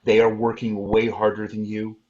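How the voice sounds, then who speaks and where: Loud older man, lo-fi call audio. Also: fairly low pitch, monotone, normal speed. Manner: loud, practical advice with many fillers and restarts. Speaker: panelist, performing musician. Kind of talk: online convention panel talk.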